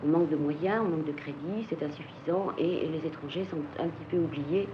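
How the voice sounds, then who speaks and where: Lower-voiced woman with steady criticism. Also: a little fast, lo-fi sound, background noise.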